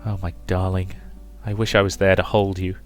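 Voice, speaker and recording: A man reads the part of a serene mother from a visual novel, calm and reassuring. The voice stays low and gentle, with music underneath. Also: normal speed, lightly acted. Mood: serene, consoling. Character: serene ghostly mother, loving, soothing, reassuring.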